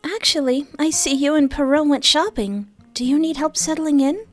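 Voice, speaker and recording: A woman's voice: sits high, fairly even intonation, moderate pace, soft background music, voice pushed up, close to an everyday voice. A woman voicing a cheerful, talkative young woman who makes clothes, bubbly and friendly.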